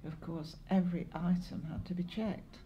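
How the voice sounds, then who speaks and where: An elderly woman with an English accent recalls her past in an oral history interview. Her voice is lowish and notably soft-edged and dark. She speaks in a measured, halting way, pausing as she remembers, with a little noise behind her. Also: fairly even intonation, lo-fi sound. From Lancashire, northwest England. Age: 70s or older. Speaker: interviewee, longtime community volunteer.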